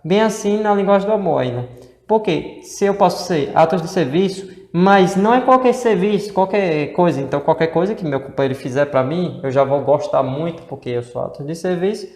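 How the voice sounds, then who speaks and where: Northeastern brazilian guy, kinda high voice, rambles on, lots of "né?", echoey room. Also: animated, brisk, darkish tone. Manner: rambling, many fillers and rhetorical questions. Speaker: co-host. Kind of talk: casual explanatory chat. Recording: echoey room with long reverb.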